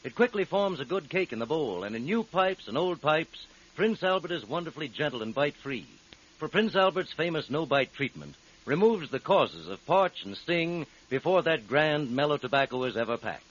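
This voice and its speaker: Lively, husky male radio pitchman. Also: moderate pace. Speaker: commercial announcer. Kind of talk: scripted 1940s radio advertisement. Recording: muffled 1940s radio recording.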